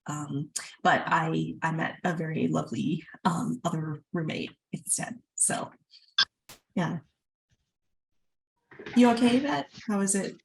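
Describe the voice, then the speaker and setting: A woman hosting an event in a casual, chatty way, speaking haltingly. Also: low voice, vocal fry, husky, poor audio. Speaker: event host.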